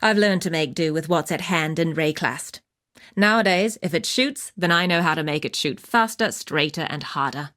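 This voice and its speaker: Tough huntress, lowish female voice, earthy, dry wit, measured delivery, video game quest-giver. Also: fairly bright tone. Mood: wry, encouraging. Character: tough, wry wilderness huntress, earthy and purposeful. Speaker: game character voice actor.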